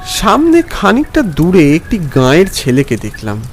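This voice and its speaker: A man tells a scripted story in the first person. His voice is high and moves with lively, dramatic expression. Faint music runs underneath. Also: normal speed.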